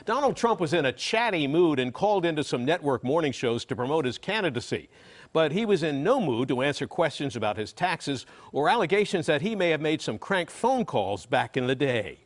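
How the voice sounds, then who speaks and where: A man's voice: fairly high pitch, very animated, a little fast. An American man working as a television political reporter, delivering a news report. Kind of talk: scripted news report with some live studio talk.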